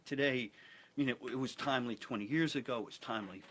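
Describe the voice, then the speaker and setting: A middle-aged American man talking as an interview guest in a halting, reflective way, often repeating phrases. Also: mid-range voice, a bit bright. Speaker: theatre producer and interview guest. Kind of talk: conversational interview talk.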